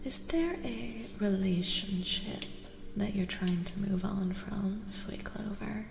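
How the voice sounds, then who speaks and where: A woman speaks softly and slowly, often dropping into a breathy whisper, with a creaky edge to her voice. She is gentle and reassuring, like an ASMR streamer doing readings for her chat. The sound is roomy and reverberant. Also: mid-range voice, background noise. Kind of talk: soft-spoken ASMR, chatting with live viewers.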